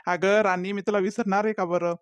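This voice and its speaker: A performer voicing a sly, smooth-talking young village man in a cartoon comedy, who dodges his mother and sweet-talks his girlfriend. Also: mid-range voice, measured pace, dry recording, close to an everyday voice.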